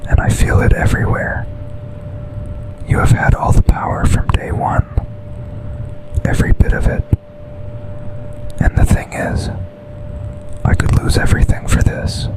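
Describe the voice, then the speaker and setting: Guy doing an asmr boyfriend boss, all whispered and super slow, intimate, smitten, tender. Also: close to an everyday voice, low-quality recording. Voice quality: breathy whisper. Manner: slow, intimate, deliberate delivery with pauses. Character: smitten male office boss, secret lover, intense and tender.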